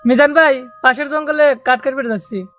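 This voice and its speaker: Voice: high voice, fairly quick, a bit bright, soft background music. A performer playing a determined male woodcutter, practical and rustic. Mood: businesslike.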